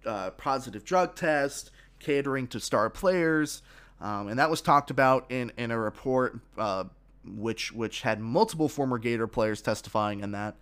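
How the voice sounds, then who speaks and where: A man's voice: medium pitch, normal speed, fairly bright tone, clear, resonant tone. A man hosting his own sports podcast, giving opinions with many fillers and restarts, a little congested from a frog in his throat.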